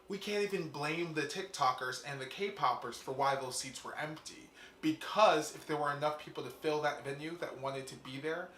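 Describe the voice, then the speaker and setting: A male host riffs through a fast, irreverent comedic monologue, swearing freely before turning to interview a guest. His voice sits somewhat high, and the room around him rings with noticeable echo and a faint hiss. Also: pushed and bright. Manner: quick, profane, joking delivery.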